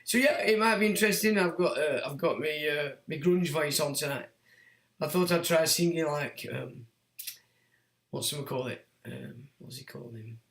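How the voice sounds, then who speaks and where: Sick, croaky man with a high-set voice, sardonic rambling host, echoey room. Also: normal speed, fairly bright tone. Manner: rambling, sardonic, talks to himself with fillers. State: hoarse, croaky from being sick. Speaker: livestream host. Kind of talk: rambling solo livestream monologue.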